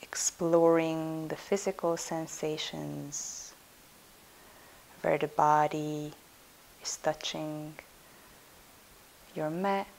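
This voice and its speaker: A woman with a low voice guides a meditation as a yoga nidra instructor. She speaks very slowly and soothingly, giving gentle instructions with pauses. A steady hiss sits behind her voice. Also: gravelly, poor audio.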